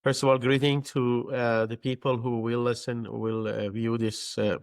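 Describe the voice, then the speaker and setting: A middle-aged man from Iran with a Persian accent, a pastor answering interview questions in halting English full of fillers and restarts. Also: medium pitch, level tone, gravelly, no room sound, clean studio sound. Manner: halting, searching for words, many fillers. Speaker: pastor and guest.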